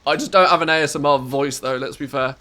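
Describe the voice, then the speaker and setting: A man's voice: fairly high pitch, quick pace, sharp tone. A man with an English accent and a scratchy, harsh voice, chatting casually to his live-stream audience into a good studio microphone, rambling and correcting himself as he goes. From England. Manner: rambling, self-correcting, musing to chat. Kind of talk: casual live-stream chat while playing.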